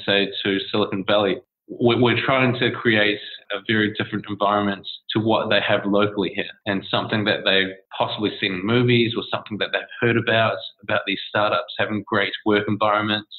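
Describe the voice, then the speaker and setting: A man's voice: lowish voice, brisk. New man on a tinny line. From New Zealand. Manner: relaxed and discursive, with many restarts and fillers. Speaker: startup co-founder, guest. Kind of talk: casual interview answers.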